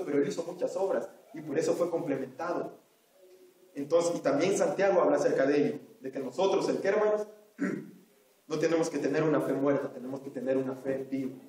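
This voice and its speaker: A man's voice: on the high side, mellow. Salvadoran man preaching in echoey church. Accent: Salvadoran Spanish. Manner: earnest, repeats phrases, addresses listeners as brothers. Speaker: Sunday school teacher. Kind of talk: sermon. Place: church hall. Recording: very echoey hall, voice from far off.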